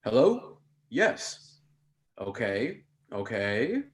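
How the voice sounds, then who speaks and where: A man's voice: on the low side, big pitch swings, extremely slow, heavily acted. A man reading the part of a polite, inquisitive police officer in a comedy mystery table read. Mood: puzzled. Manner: polite, questioning.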